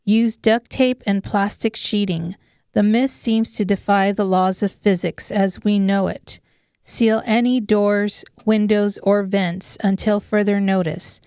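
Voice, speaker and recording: A woman's voice: medium pitch, steady tone, measured pace, a little mellow, clear, resonant tone, no room sound, studio quality. A woman reading scripted narration of an apocalyptic horror audiobook. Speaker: author narrating her audiobook.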